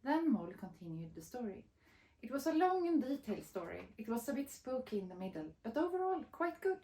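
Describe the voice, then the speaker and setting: A woman, reading a picture book aloud as expressive storybook narration. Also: on the high side, brisk, roomy sound, low-quality recording. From Sweden. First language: Swedish.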